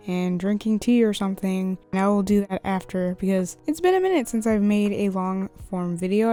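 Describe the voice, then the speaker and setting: A young woman with a slightly hoarse voice, chatting casually in a voice-over about her art. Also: mid-range voice, warm tone. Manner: chatty, rambling, laughs often. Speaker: art YouTuber. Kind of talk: casual voice-over while drawing. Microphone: USB studio microphone.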